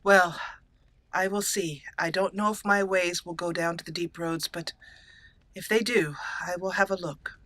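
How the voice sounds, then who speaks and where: A woman's voice: fairly low pitch, steady tone, a little slow, a bit bright, no echo. A woman voicing a resolute, courteous elf mage in a fantasy game roleplay, with formal wording. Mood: guarded. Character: resolute elf mage Grey Warden, dwarf-raised, courteous.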